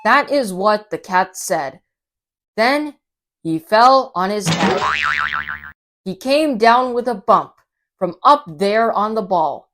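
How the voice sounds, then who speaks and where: A woman reading a storybook aloud to children in an animated storytelling style. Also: mid-range voice, slow, long pauses.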